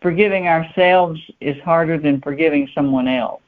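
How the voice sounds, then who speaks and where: A woman's voice: low-pitched, normal speed. Woman interviewee, informal, repeats words while thinking, narrow telephone audio. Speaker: author guest. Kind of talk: casual interview answers. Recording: narrow tinny phone line.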